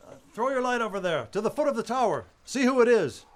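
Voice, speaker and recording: A brisk police commissioner from a radio play, played by a man, gives orders with urgency. His voice sits high and moves around in lively swings. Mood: brisk, surprised.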